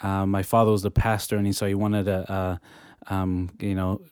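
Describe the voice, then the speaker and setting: New Zealand-born pastor, low and smooth. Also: male voice, little pitch movement, darkish tone, no room sound, studio quality. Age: 30s. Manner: halting, with many ums and restarts. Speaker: campus pastor, guest. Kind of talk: casual interview.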